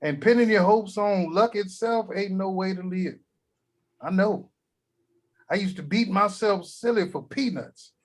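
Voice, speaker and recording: A man's voice: high-pitched, measured pace. An actor at a table read playing a crude, grumpy old grandfather who blusters and swears. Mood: lecturing. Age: older. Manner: profane, blustery, shouting when riled.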